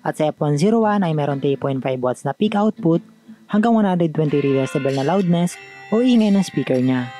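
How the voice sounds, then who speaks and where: A man's voice: fairly high pitch, lively intonation, fairly quick, warm tone, faint music behind. A man with a Filipino accent giving a conversational, scripted voice-over as a tech review vlogger.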